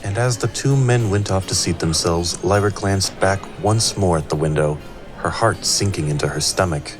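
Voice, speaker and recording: A man's voice: sits low, moderate pace, natural voice, rough recording. A man narrating a fantasy audio drama as a grave storyteller. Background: clear music bed.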